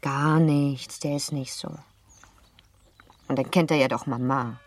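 A woman acting a lively, playful, well-born girl of seventeen in a German radio play, chatty and teasing. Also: extremely low pitch, measured pace. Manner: chatty, teasing, self-assured.

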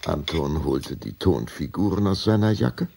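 A man narrating a children's radio play as a storyteller, in a scripted, expressive reading. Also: low voice, unhurried, vocal fry, breathy edge.

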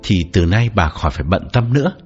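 A man's voice: fairly low pitch, animated, fast talker, pitched below the performer's own voice, lightly acted. A man voicing a middle-aged property agent who explains himself carefully and politely, hedging to soothe an angry client, in an audiobook. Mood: placating. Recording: slightly dull, faint background music.

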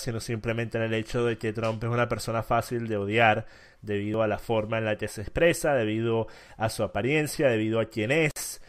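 A man from Venezuela hosting a debate, arguing assertively and emphatically. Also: lowish voice. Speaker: debate host. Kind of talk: casual debate.

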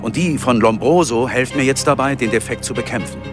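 A man's voice: medium pitch, brisk, lightly acted. An actor playing an earnest man giving a scripted testimonial for a health test in a radio-play advert. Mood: earnest, urging. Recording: loud music under the voice.

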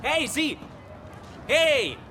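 Very high-voiced brash schoolboy. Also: male voice, sing-song, extremely slow, pushed and bright, slight background noise, full character voice. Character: brash, nosy teenage school pupil. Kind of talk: radio drama acting.

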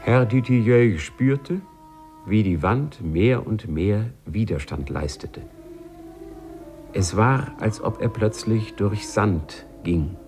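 An actor as a wry, knowing storyteller, narrating a 1950s German radio play in a measured way. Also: male voice, on the low side, expressive. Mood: suspenseful, wry. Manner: wry, measured, addresses listeners. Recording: 1950s radio recording, faint music behind.

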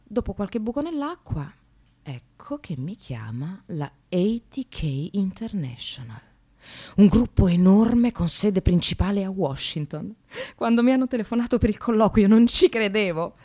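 A woman's voice: fairly high pitch, expressive, normal speed, a little mellow. An actress playing an ironic, anxious woman in precarious work, confiding her life in a wry, theatrical radio monologue. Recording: radio play with music behind.

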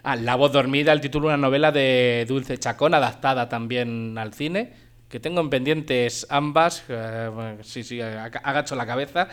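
A male radio host with a Spain accent talks in an informal, digressive way. His voice sits at a middle pitch as he chats and introduces music. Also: normal speed, fairly bright tone, clean tone. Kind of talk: casual chat, presenting music.

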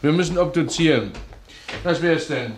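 An actor in a radio crime drama playing a cautious, matter-of-fact police doctor. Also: male voice, a little high, normal speed, natural voice. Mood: dry, measured. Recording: noisy old radio play recording.

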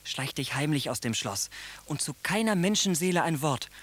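An actor playing a tender, secretive and earnest lover in an intimate radio drama, with a husky voice. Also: male voice, fairly high pitch, lively intonation, normal speed, natural voice. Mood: secretive, affectionate.

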